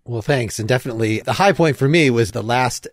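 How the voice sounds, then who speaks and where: A man's voice: mid-range voice, fairly quick. A man hosting a casual group chat, putting questions to the other speakers. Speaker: host.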